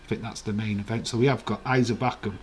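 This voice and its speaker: A man with a croaky, hoarse voice, hosting a casual live stream, chatting in a rambling way and reading out viewer comments. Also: on the low side, steady tone, quick pace.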